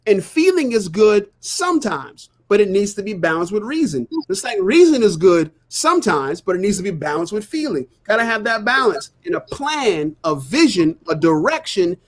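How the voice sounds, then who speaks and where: Earnest high-voiced man explaining his views. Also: very animated, moderate pace, hardly any pauses. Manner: earnest, explanatory, builds points by repetition; frequent "you know what I'm saying". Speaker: co-host of a live talk stream. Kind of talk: casual live discussion.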